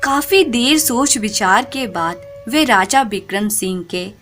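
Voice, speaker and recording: A woman narrating a scripted moral folk tale in a measured, expressive storytelling manner. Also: fairly high pitch, faint music behind.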